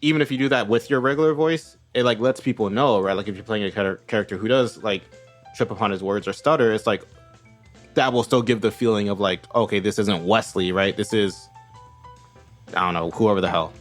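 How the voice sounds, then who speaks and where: A man co-hosting a roleplay podcast, talking in a rapid, rambling way and correcting himself as he goes. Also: on the low side, soft background music. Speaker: podcast co-host. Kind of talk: casual roleplay podcast discussion.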